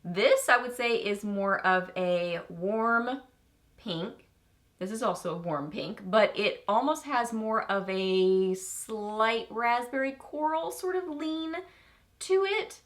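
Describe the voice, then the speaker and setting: A woman with a Texan accent chatting through a casual makeup review, bubbly and easily excited, with rambling asides. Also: a little high, takes it slowly. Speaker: beauty vlogger.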